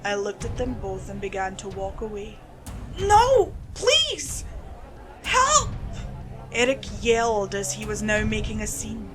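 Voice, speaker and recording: A woman's voice: mid-range voice, level tone, moderate pace, few pauses, very noisy. A woman reading a scripted first-person creepypasta story as narrator. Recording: music behind the voice.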